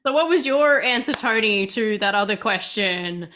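A woman's voice: mid-range voice, lively intonation, normal speed, background noise. A woman interviewing guests in a casual, bubbly way. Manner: bubbly, laughs often.

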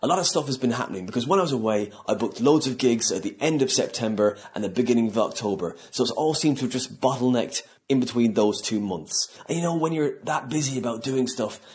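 A man's voice: medium pitch, animated, fast, few pauses, a little mellow. A man hosting a podcast, talking informally in a chatty, rambling way. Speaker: podcast host and comedian. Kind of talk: casual spoken podcast introduction and chat.

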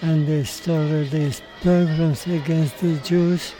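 Old man speaking slowly and haltingly. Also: a little high, steady tone, mellow, faint music behind, poor audio. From Mexico, raised in Hungary. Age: older. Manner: halting, with frequent restarts and repeated words. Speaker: interviewee, survivor telling his life story. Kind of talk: recorded interview.

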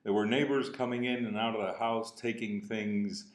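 A man in his sixties from Cuba with a Cuban Spanish accent, a business coach telling a personal story in an earnest way, slowing and softening at emotional moments. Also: fairly low pitch, fairly even intonation. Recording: roomy echo.

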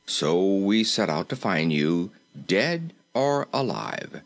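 A man's voice: sits low, sing-song, slow, full character voice. A man voicing a respectful, firm royal courtier in a storybook, formal and deferential yet insistent. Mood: earnest. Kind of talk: storybook character voice.

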